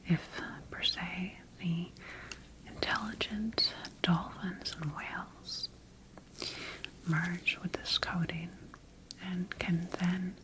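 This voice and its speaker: A woman whispering breathily in an ASMR sci-fi roleplay as a scientist studying her creation, speaking deliberately with pauses. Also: fairly low pitch, fairly even intonation, low-quality recording. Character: quietly rogue female scientist studying her engineered creation. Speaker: ASMR performer.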